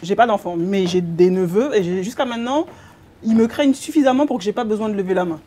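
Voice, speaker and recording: Fast-talking, emphatic woman in debate. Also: mid-range voice, dark tone, slight background noise. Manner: emphatic, insistent, repeats herself to hold the floor. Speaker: paediatric worker among barbershop customers. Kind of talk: animated casual debate. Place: busy barbershop, indoors.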